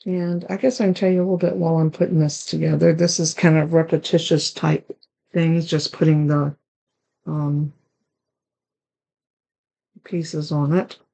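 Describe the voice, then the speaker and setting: A woman with a raspy, hoarse voice hosting a card-making tutorial, chatting along as she works through each step. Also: low-pitched, flat delivery, a little slow, pauses often, dark tone, poor audio. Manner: chatty, talks while working with her hands. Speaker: card-making channel host.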